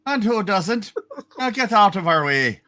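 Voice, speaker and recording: A man voicing a gruff, weary, no-nonsense policeman in an amateur comic play reading. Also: high-pitched, sing-song, a little slow, sharp tone, full character voice. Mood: knowing.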